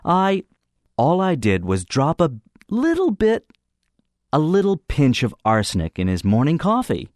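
A man voicing a tense teenage boy who jokes loudly to hide his nerves, strained and offhand. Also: a little high, wildly swooping pitch, a little slow, no echo, clean studio sound, voice pushed up. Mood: nervous joking. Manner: strained, offhand, overloud bluster.

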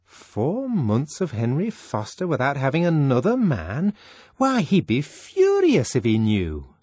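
A man's voice: medium pitch, huge pitch swings, measured pace, warm tone, slightly rough, no room sound, voice pushed up, exaggerated voice. A man reading an audiobook voices a prim, disapproving young woman who scolds her friend with fussy, mock-shocked emphasis. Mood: scolding. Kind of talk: audiobook character voice.

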